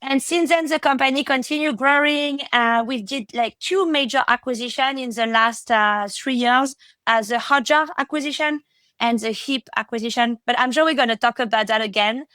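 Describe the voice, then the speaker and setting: Guest voice: French-accented woman, thirties, higher pitch, dry close sound, chatty with lots of fillers. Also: moderate pace, sharp tone, dry recording. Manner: chatty, many fillers and restarts. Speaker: podcast guest, product executive. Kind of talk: casual interview talk.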